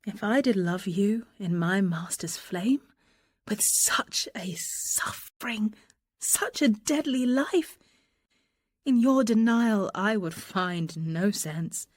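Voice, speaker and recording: A young woman passing as a gentleman envoy, earnest and ardent. The verse is unhurried and lyrical, with bold feeling, in classical radio drama style. The sound is close and dry. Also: medium pitch, expressive, dry recording. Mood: passionate pleading. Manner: earnest, lyrical, deliberate delivery.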